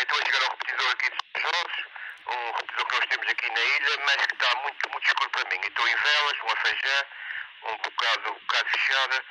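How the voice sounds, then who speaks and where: Man over noisy two-way radio. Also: medium pitch. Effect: two-way radio transmission. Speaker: amateur radio operator. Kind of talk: casual radio contact chat. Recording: noisy handheld radio speaker outdoors.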